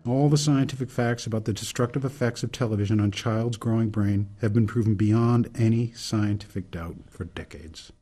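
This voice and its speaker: An actor as a low, husky, solemn reformer. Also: male voice, mellow, close to an everyday voice. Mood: grave, urgent. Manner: deliberate, solemn, speechifying. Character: earnest older male reformer laying out a grave case. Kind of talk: radio drama monologue, oratorical.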